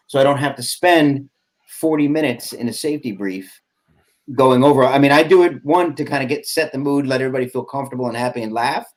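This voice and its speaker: A man in his forties talks casually over a video call, his voice sitting at an ordinary middle pitch. He rambles, stopping and restarting often and leaning on lots of fillers as he explains his plans. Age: 40s. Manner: rambling, halting with many fillers. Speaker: airsoft field owner. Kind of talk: casual podcast chat.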